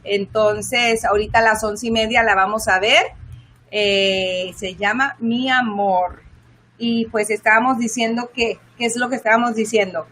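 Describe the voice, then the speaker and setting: Bubbly bright-voiced woman co-host. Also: a little high, steady tone, normal speed. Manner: bubbly, laughs often, switches between Spanish and English. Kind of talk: casual bilingual chat.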